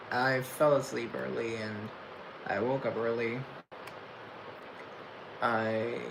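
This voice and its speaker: A young man talks on a casual livestream in a mid-pitched voice, halting and restarting his words as he stutters through reflective chat. A clear hiss of background noise sits under his voice, picked up by a phone or webcam mic. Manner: reflective and chatty. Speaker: livestream host. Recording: noisy room tone.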